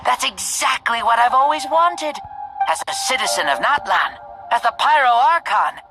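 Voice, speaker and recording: An actor voicing a proud ancient warrior spirit in a child's body, speaking in a grand, deliberate, declamatory way for a video game. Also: mid-range voice, lively intonation, close to an everyday voice. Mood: proud, eager. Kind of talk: dramatic video game voice acting. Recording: game dialogue over music.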